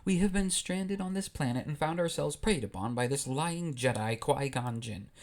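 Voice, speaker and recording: A man's voice: on the high side, moderate pace, darkish tone, lightly acted. A man voicing a composed, assertive young queen in a retold story, formal and poised.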